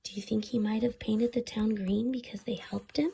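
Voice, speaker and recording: Woman, fairly high pitch, children's read-aloud, expressive storytelling, teacherly asides and questions. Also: gravelly, husky. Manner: expressive, teacherly, pausing to explain and ask questions. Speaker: storyteller reading a picture book aloud to children.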